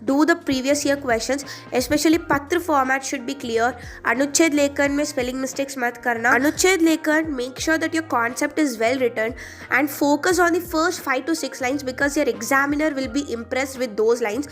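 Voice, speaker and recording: A woman's voice: sits high, normal speed, fairly bright tone, faint music behind. A teenage girl from India with an Indian English accent, whose first language is Telugu, giving earnest, chatty study advice in a vlog. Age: teens. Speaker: student vlogger.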